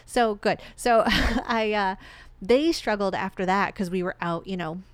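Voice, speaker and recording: A woman's voice: medium pitch, fairly even intonation, unhurried, crowd noise. A young woman from Michigan co-hosting a casual podcast, sounding congested and telling rambling stories. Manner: chatty, rambling storyteller. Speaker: podcast co-host. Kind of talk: casual chat.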